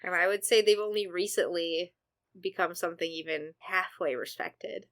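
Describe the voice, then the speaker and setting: A woman's voice: lowish voice, fairly even intonation, moderate pace, fairly bright tone. A young woman co-hosting a podcast, leading the talk in a chatty, upbeat way. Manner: leads the topic, upbeat and chatty. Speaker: podcast co-host. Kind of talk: casual podcast chat.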